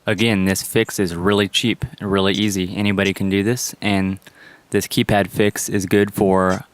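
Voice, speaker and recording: A man's voice: low voice, clean tone, no room sound. A man narrating a step-by-step repair tutorial in a plain, instructional manner. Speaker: how-to presenter.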